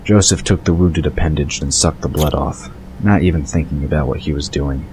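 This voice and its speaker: A man's voice: a bass voice, a little fast, background noise. A man reading scripted narration for an audio drama.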